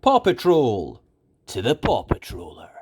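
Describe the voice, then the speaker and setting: Storyteller acting young boy leader, upbeat, confident, rallying, lively pitch swings, children's storybook. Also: unhurried, slightly rough, heavily acted. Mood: decisive.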